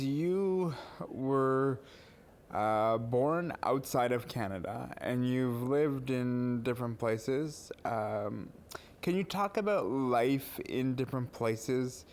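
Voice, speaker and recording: A man hosting a podcast, asking interview questions in a measured way with some fillers. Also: medium pitch, darkish tone. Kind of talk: scripted intro then interview questions.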